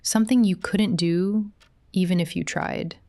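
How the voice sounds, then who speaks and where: A woman playing a possessive, quietly controlling therapist in an intimate ASMR roleplay, speaking softly and coaxingly. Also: on the low side, steady tone, no room sound. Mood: soothing, hypnotic. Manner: soft, unhurried, coaxing.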